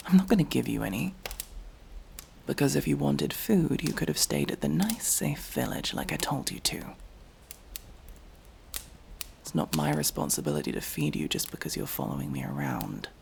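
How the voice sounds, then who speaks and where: A man's voice: mid-range voice, creaky, darkish tone, a little hiss or hum. A man playing an aloof, prickly elf mercenary in a fantasy ASMR roleplay, speaking in a curt, sardonic, exasperated manner. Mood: dry, grudging. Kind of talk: ASMR fantasy roleplay, acted monologue to listener.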